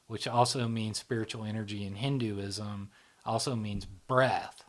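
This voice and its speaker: Male guest, lowish voice, rambling explainer, fillers and tag questions, trailing soft endings. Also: fairly even intonation, measured pace. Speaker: psychology channel founder, guest. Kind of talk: casual explanatory conversation.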